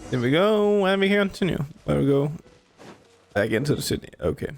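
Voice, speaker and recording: Low, creaky-voiced woman gaming. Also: sing-song, brisk, faint music behind. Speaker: game streamer. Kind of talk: casual gameplay commentary.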